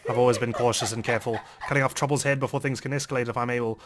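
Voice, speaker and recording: Casting: male game narrator, theatrical wry first-person read, quick pace, music underneath. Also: mid-range voice, fairly even intonation. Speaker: let's play narrator. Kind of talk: dramatic first-person visual novel reading.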